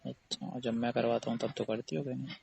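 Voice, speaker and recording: A man's voice: medium pitch, level tone, a little fast, gravelly, a little mellow, breathy edge, dry recording. A young man in an intimate, casual phone chat, teasing and persistently asking questions. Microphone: phone call.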